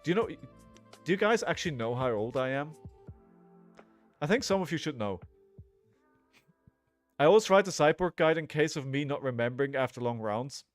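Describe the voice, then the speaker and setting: A man's voice: fairly high pitch, lively intonation, moderate pace, long pauses, dry recording, soft background music. A man in his forties giving casual, rambling live commentary on a card game, thinking aloud and chatting to viewers. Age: 40s. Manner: rambling, thinking aloud, frequently checking in with "right?".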